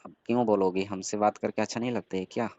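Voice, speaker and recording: Deep, flat-toned man on an echoey call. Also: fast talker. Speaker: caller. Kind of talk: casual flirtatious phone chat. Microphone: phone call. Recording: band-limited phone call recording with echo.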